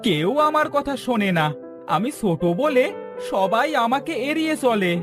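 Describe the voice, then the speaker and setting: A man's voice: very high voice, very animated, a little slow, heavily acted. A man voicing a small, earnest, pleading boy in a cartoon. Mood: sad. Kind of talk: cartoon character voice acting. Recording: music under the voice.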